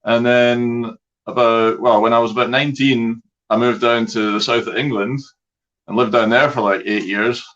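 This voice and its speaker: A man's voice: medium pitch, fairly even intonation, moderate pace, a bit bright. Easygoing Scottish man, dull call audio. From Scotland. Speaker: guest, outdoors video creator. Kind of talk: casual chat.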